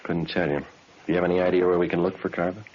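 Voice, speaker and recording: A man's voice: deep voice, flat delivery, quick pace, slight background noise, natural voice. A man playing a terse police detective who narrates his case in a clipped, matter-of-fact way, in old radio drama style. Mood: businesslike. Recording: dull old radio recording with music.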